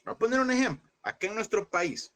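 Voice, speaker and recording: A man teaching a Bible class, asking his listeners questions, repeating them and slowing down on key points. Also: sits high, expressive, a bit bright. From El Salvador. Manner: addresses listeners as brothers, asks and repeats questions; slows and stresses key words.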